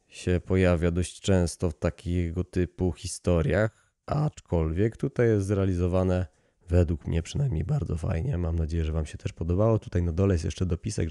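Low, mellow male storyteller. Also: no room sound, clean studio sound. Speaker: podcast host reading horror stories. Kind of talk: dramatic story narration with casual commentary.

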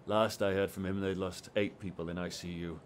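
A man's voice: low voice, little pitch movement, brisk, slight background noise, close to an everyday voice. A man playing a weary, dry-witted hospital doctor in a naturalistic audio drama. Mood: wry, sombre.

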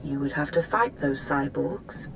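Low-voiced, composed female agent. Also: gravelly, noisy background. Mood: guarded, conspiratorial. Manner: formal, measured, precise. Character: composed, calculating female Imperial intelligence agent. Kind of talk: video game character acting.

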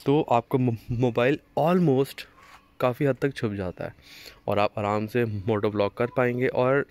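A man's voice: mid-range voice, unhurried, darkish tone. A young man from Pakistan, casually explaining his motovlog setup step by step, recorded outdoors on a street by a phone on a chest mount. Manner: chatty, explaining step by step with small restarts. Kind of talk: casual how-to vlog. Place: outdoors on a street beside a parked motorcycle.

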